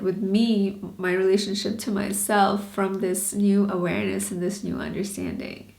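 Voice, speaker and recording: A woman's voice: medium pitch, darkish tone, reverberant. A woman in her thirties from Bangladesh and Canada, talking candidly in a personal vlog, earnest and questioning with small laughs. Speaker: vlogger.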